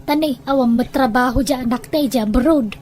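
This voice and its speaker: Woman acting a meek, high-voiced, hesitant parent. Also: fairly quick, a little mellow, lightly acted. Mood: reluctant. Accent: Filipino. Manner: hesitant, demurring. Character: meek, embarrassed parent, reluctant to ask for money. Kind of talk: Ilocano audio drama acting.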